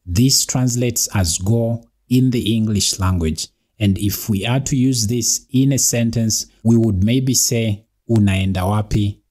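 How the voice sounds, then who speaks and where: A man who hosts a channel, reading a scripted narration of facts in a measured, teaching tone. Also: on the low side, darkish tone, breathy edge. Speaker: channel host. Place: voice-over with background music.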